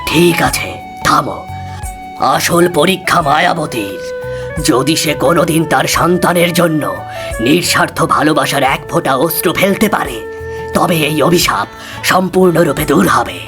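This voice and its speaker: An actor voicing a solemn, wise male sage in a cartoon fairy tale, grave and deliberate in manner. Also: mid-range voice, music in the background, close to an everyday voice. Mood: solemn counsel.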